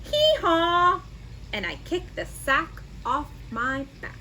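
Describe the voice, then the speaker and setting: A woman's voice: very high-pitched, wildly swooping pitch, slow, pushed and bright, a little hiss or hum, pitched above the performer's own voice, full character voice, rough recording. A woman voicing a stubborn, huffy young donkey in a children's storybook, complaining in an exaggerated, indignant way. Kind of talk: children's storybook read-aloud.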